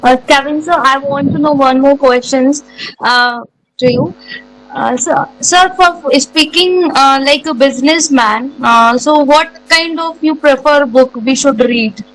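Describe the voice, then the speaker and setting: A woman student from India asking halting questions in an online English class, searching for words. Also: high-pitched, fairly bright tone, low-quality recording.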